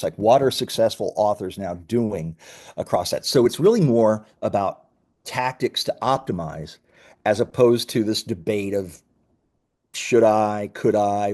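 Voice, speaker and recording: A man with a notably low voice and a warm, relaxed tone. He speaks as a founder in an interview, explaining things conversationally and in a measured way. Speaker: company founder being interviewed.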